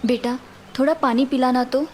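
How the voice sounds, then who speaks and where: A woman voicing an older village mother who gives calm, patient advice to her daughter. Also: sits high, moderate pace, a little hiss or hum, natural voice. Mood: concerned.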